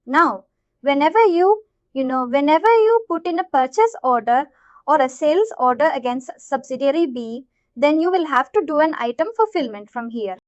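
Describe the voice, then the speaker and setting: A woman's voice: sits high, animated, normal speed, no room sound, lo-fi sound. A woman trainer explaining a concept to learners step by step, repeating herself and checking that they follow. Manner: repetitive, checks understanding often. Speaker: software trainer.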